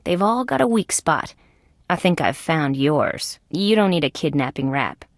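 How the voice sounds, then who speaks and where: A determined young female insect detective for an adventure video game. Her voice sits a little low, with wry, conversational, clearly spoken delivery. The recording is very dry, with no room sound. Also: very animated, a little slow, no echo, exaggerated voice. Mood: firm, threatening. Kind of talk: scripted adventure game voice acting.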